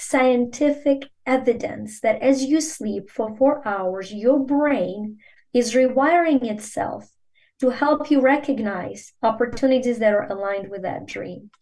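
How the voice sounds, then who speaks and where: Earnest Ukrainian-born woman, slightly high voice. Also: measured pace, mellow, poor audio. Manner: earnest, emphatic, coaching tone. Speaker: guest. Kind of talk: podcast interview.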